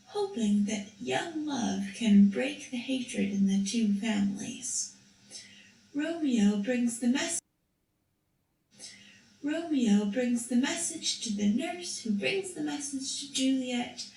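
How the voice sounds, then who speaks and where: A woman's voice: high voice, a little mellow, distant mic. A woman narrating a story for a school puppet show, reading carefully with a few restarts. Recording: echoey room, voice from off-mic.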